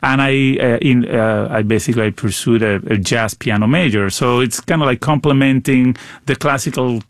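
A man's voice: mid-range voice, normal speed, dry recording, clean studio sound. Middle-aged Venezuelan man in conversation. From Caracas, Venezuela. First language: Spanish. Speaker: musician, interview guest. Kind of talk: conversational interview answers. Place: radio studio.

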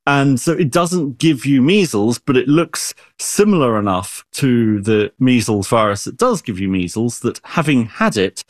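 Lively expert man, very expressive pitch, dry close sound. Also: unhurried, few pauses, no echo. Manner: animated, explains with everyday analogies, frequent restarts. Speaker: expert guest. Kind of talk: explanatory interview talk.

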